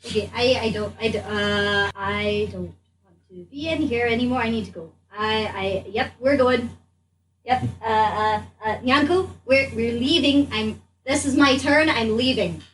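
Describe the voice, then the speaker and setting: A woman's voice: fairly high pitch, measured pace, natural voice. A woman playing an anxious, restless adventurer in a tabletop game, stammering and repeating herself. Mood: panicky, insistent. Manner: stammering, repetitive. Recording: echoey room, distant noisy mic.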